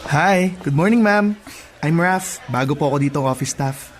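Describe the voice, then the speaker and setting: A man's voice: a little high, moderate pace, lightly acted. Casting: amiable young male office newcomer played by an actor, courteous, easygoing radio drama delivery, faint music underneath. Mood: polite, amused.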